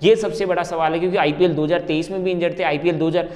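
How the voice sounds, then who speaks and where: A young man talks through cricket analysis in a conversational, emphatic way. His voice sits a little high for a man. It carries a long, hall-like reverb. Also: fairly even intonation, very fast, darkish tone. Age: young adult. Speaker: cricket video presenter. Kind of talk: conversational sports analysis to camera.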